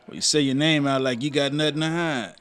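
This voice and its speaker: A man's voice: a little high, fairly quick, fairly bright tone, clear, resonant tone, lightly acted. A man playing a goading, drawling Western gunslinger. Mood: taunting, menacing. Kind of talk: old-time radio western acting.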